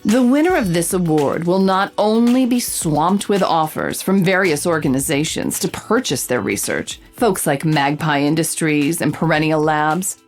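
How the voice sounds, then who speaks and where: An actress playing a confident investigative reporter hosting a true-crime podcast, with theatrical, knowing scripted delivery. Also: female voice, on the low side. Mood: dramatic, wry. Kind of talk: scripted dramatic podcast narration and interviewing.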